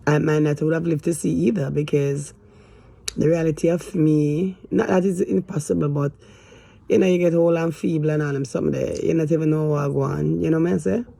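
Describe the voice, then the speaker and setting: A woman in her fifties talking hesitantly to camera in a casual, rambling way, with sighs and trailing pauses. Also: low voice, fairly even intonation, creaky, mellow, no echo. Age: 50s. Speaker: vlogger. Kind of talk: casual confessional talk to camera.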